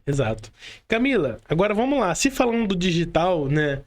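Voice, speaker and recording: A man's voice: high-pitched, sing-song, normal speed. A man with a Brazilian Portuguese accent hosting a casual motivational podcast, explaining things and often checking in with short tags. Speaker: podcast host.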